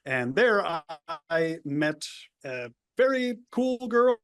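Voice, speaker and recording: A man's voice: fairly high pitch, sing-song, takes it slowly, fairly bright tone, clean tone. A man from the El Paso border area, a native Spanish speaker, telling his life story in a rambling, casual interview with many restarts. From United States, El Paso border area. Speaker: guest.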